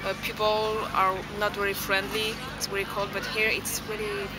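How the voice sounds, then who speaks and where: A woman whose first language is French, speaking English with a French accent, talking haltingly and giggling as she searches for words at an outdoor festival. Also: mid-range voice, monotone, very noisy. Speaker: interviewee. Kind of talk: vox pop interview. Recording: loud crowd and music outdoors.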